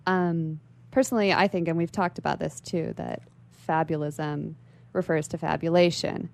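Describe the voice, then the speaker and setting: A woman's voice: low voice, steady tone, normal speed, creaky. A woman speaking as an interview guest in a literary radio discussion, articulate and bookish.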